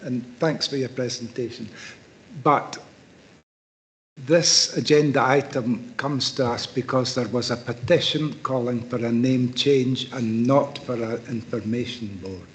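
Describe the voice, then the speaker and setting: A man's voice: mid-range voice, steady tone, unhurried. A man councillor raising a point of clarification at a council meeting.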